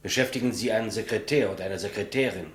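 A male actor plays an elderly executioner of the state in a 1950s German radio play. The voice is fairly low, and the delivery plain and businesslike. He is deferential and awkwardly polite, treating his grim trade as ordinary work. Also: fast talker, lightly acted. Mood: businesslike probing. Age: older.

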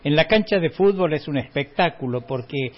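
A man's voice: a little high, lively intonation, moderate pace. A middle-aged man from Córdoba with a slight Cordoban Argentine accent, hosting a radio show and telling wry anecdotes in a casual chat. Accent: Cordoban Argentine Spanish, slight. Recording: dull lo-fi radio recording.

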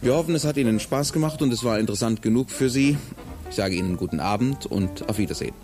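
A man's voice: mid-range voice, fast talker, over music. A man signing off as a broadcast presenter in a courteous, formal vintage radio style.

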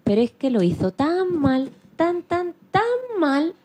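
A woman from narrating a dramatised children's story in an expressive, playful way. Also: sits high, slow pace. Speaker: storyteller, programme presenter.

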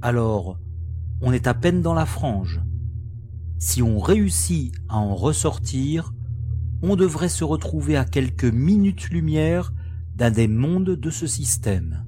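A man playing a frank, resolute starship pilot in a science fiction audio drama, explaining things in a measured way. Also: mid-range voice, big pitch swings, over music. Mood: grave, explaining.

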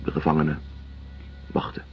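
A male actor in a radio drama playing a weary, detached doctor and writer, speaking in a measured, deliberate way. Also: faint music behind, close to an everyday voice. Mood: grave, observant. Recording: dull lo-fi radio play recording.

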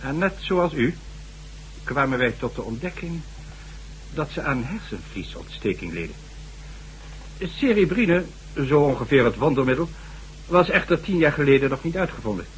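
A man's voice: fairly high pitch, sing-song, normal speed, long pauses, poor audio. An actor playing a stern, sardonic government official in a radio drama, formal and condescending as he lectures. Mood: measured, recounting. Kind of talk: Dutch radio drama acting. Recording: faint hum and noise, old radio recording.

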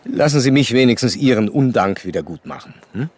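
An actor playing a curious, educated gentleman who narrates a literary radio play in a measured, deliberate way. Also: medium pitch, expressive, close to an everyday voice. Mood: courteous, curious.

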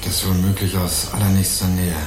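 A man's voice: low voice, flat delivery, moderate pace, echoey room, noisy background, lightly acted. An actor playing a young student turned amateur investigator, courteous and hesitant but insistent in his questions, in a German crime radio play. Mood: grave. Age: young adult.

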